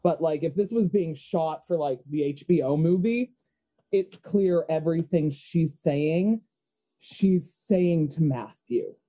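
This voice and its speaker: A woman's voice: low-pitched, lively intonation, takes it slowly, warm tone. A woman playing a tabletop roleplaying game, improvising her character's scenes and chatting casually at the table.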